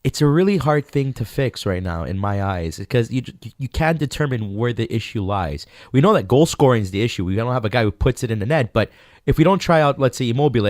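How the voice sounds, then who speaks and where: A man's voice: medium pitch, dry recording, studio quality. A man co-hosting a sports podcast, thinking aloud with frequent restarts. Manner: searching, restarts mid-thought. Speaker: podcast co-host. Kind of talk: casual sports chat.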